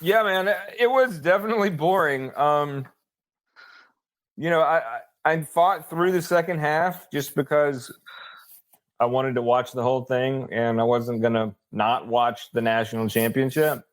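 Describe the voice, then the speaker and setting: A man, a sports journalist guest, giving casual unscripted commentary in a halting, think-aloud manner. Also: medium pitch.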